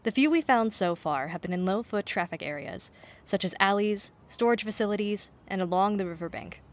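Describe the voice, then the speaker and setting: An actress playing a serious, composed police detective giving measured, official answers in an audio drama news interview. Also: female voice, medium pitch, dull audio, lightly acted. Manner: measured, official, matter-of-fact.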